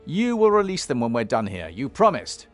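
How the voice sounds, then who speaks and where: Measured, steadfast fantasy-game hero, male, animated mid-range voice with a dry edge. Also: mid-range voice, soft background music. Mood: resolute. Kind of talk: fantasy game cutscene acting.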